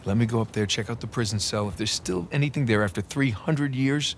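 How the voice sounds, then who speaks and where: Actor as wry American adventurer, faint background noise. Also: male voice, mid-range voice, a little fast, lightly acted. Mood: impatient, sarcastic. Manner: wry, sarcastic, casual. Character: wisecracking male American adventurer held prisoner, unflappable. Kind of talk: video game cutscene acting.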